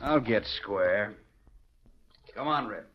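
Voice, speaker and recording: An actor playing a slippery, bullying rancher villain with blustering, rough western talk in a 1940s radio western. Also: male voice, fairly low pitch, animated, slow, background noise. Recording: muffled vintage radio recording.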